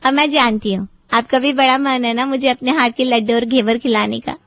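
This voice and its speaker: A woman's voice: fairly high pitch, steady tone, a little fast, a bit bright, no echo. A woman playing a spoiled young bride-to-be in a family drama, pleading and sweetly manipulative with her relatives. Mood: coaxing, cheerful. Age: young adult. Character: wheedling, spoiled young bride-to-be, pampered little sister. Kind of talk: Hindi family drama acting for story video.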